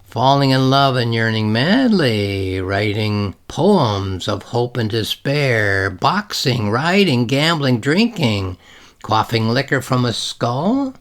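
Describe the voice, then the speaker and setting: A man reading literary passages and explaining them informally as the author, with deliberate, measured phrasing and dramatic emphasis. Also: on the low side. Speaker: novelist reading from and explaining own novel.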